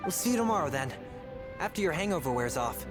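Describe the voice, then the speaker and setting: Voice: mid-range voice, big pitch swings, fast, lightly acted. An actor voicing an earnest, eager young adventurer in a game, with music behind. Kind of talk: game voice acting.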